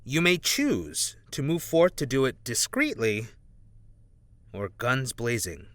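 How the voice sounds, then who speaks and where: A man plays an anxious young undercover agent with a street punk past. His pitch swings widely in an edgy, exclamatory audio drama performance, recorded close and dry. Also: huge pitch swings, slow pace, dry recording. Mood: reading aloud, wary.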